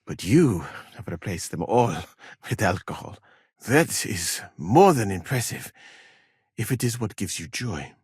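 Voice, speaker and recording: Learned, husky-voiced scholar-physician. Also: male voice, on the low side, sing-song, a little mellow, dry recording, studio quality, full character voice. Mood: amused, chiding. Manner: measured, formal, eloquent phrasing. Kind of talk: video game voice acting.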